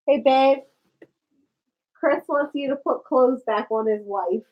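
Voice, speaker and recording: A woman's voice: high voice, slow, dull audio. A woman from Maryland with a Maryland accent, chatting in a rambling way with viewers on a live stream. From Maryland, USA. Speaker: vlogger. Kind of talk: casual live-stream chat.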